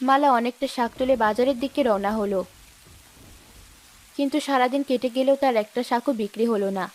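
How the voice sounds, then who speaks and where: A woman narrates a scripted children's cartoon tale. Her voice is fairly high, and a faint hiss runs behind the narration. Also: a little fast.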